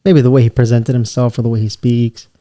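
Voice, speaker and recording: A man with a New York accent talks casually on a podcast. His voice is dark and mellow, and he slips into mimicry of other accents as he tells his stories. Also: medium pitch, fast. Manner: animated storyteller, mimics accents, says bro. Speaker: podcast co-host. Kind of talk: casual chat.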